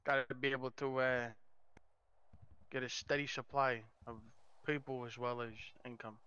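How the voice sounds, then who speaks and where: Man playing a bright, flat-toned seasoned mobster. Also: mid-range voice, normal speed, clean tone, natural voice. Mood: matter-of-fact. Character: seasoned male mobster weighing crew business. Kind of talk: improvised crime roleplay in an online game. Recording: dull, lo-fi game voice chat.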